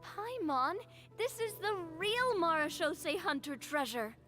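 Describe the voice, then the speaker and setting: A woman voicing a young female adventurer hero in a video game, speaking in a slow, thoughtful way. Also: very high-pitched, big pitch swings, music in the background, exaggerated voice.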